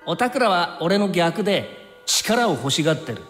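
An actor playing an easygoing, soft-hearted young fighter in an anime game, casual in speech yet earnest. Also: male voice, sits high, big pitch swings, normal speed. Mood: earnest, wistful. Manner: casual, breezy talk with an earnest core. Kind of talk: anime game cutscene acting. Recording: long reverb, music behind.

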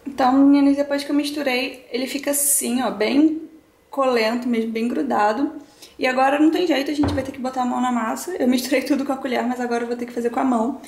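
A woman's voice: sits high, steady tone, fast talker, darkish tone, roomy sound, slight background noise. A young woman in her twenties from Brazil, chatting through a cooking tutorial in her home kitchen and explaining each step to her viewers. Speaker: cooking vlogger.

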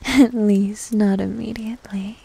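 Woman playing a slow, whispering succubus demon girl. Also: medium pitch, steady tone, exaggerated voice. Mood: playful, bargaining. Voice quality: soft, breathy whisper. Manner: slow, coy, teasing. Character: needy, teasing goth succubus demon girl, centuries old. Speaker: ASMR roleplay performer. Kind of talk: cinematic ASMR roleplay.

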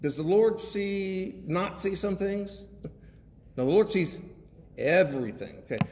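A man's voice: high-pitched, expressive, measured pace, darkish tone. A man preaching a sermon as a guest pastor, reading scripture and explaining it in a conversational, folksy manner with frequent check-ins to his listeners. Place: large echoey room, preaching to a congregation. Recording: echoey hall with faint background noise.

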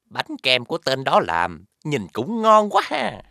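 A woman's voice: rumbling low, wildly swooping pitch, unhurried, creaky, bright, forceful tone, no room sound, heavily acted. A woman with a Southern Vietnamese accent voicing a sly, servile mantis henchman in a children's cartoon. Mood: whining.

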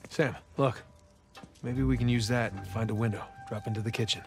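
An actor voicing a wry, easygoing adult treasure hunter in a video game, trading dry jokes. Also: male voice, medium pitch, steady tone, a little fast, soft background music, natural voice. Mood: playful. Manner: joking, dry banter. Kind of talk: video game cutscene acting.

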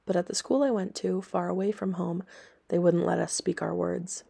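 A woman reading aloud scripted story and history narration for listeners in a measured, plain way. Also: lowish voice, steady tone, creaky, mellow, slightly rough. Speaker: storybook reader and history presenter.

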